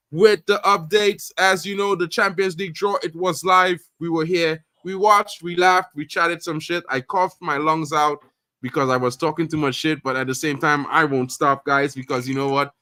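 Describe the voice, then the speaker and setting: High, bright, excitable man hosting. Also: dry recording. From Trinidad. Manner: loud, excitable, repeats catchphrases. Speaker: football stream host. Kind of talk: casual live sports chat.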